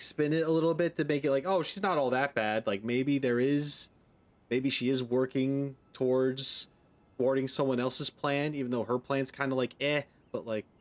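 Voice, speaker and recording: Casual male streamer, opinionated and informal. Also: mid-range voice. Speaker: game streamer. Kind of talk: casual reaction commentary.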